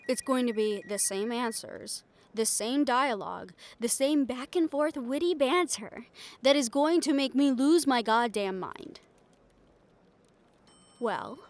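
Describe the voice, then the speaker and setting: A woman playing a brash, stubborn, ambitious young reporter in an improvised audio roleplay, with a sarcastic, cocky, conversational delivery. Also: a little high. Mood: frustrated, sarcastic.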